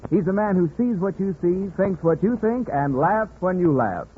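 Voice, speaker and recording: A man's voice: high voice, animated, moderate pace, phone-quality sound, noisy background. An American man announcing in polished 1940s radio commercial style, like a salesman addressing listeners. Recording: thin, murky 1940s radio.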